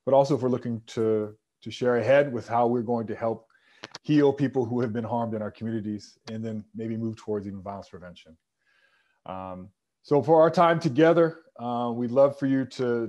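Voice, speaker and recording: A man in his forties moderating a video call panel from an office, relaxed with many fillers. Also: mid-range voice. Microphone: earbud headset on a video call.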